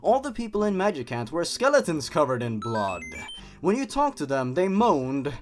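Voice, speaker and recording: A man's voice: on the high side, huge pitch swings, normal speed. A man hosting a dramatic reading of a horror story, dropping in sarcastic, exasperated comments of his own. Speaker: host. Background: music.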